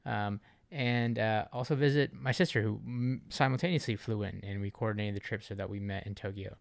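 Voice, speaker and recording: A man's voice: on the low side, fairly quick, creaky, no echo. A man from Japan talking casually to viewers as a vlogger, in a conversational, wordy way that often rephrases itself. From Japan, Okinawa. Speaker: vlogger, channel host.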